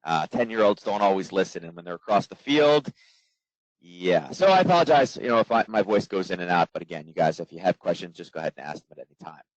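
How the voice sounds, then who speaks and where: Hoarse, fast-talking male trading instructor. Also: sits low, expressive, dry recording. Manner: quick, filler-heavy, conversational. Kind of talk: live webinar presentation. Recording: dull, dry lo-fi webinar audio.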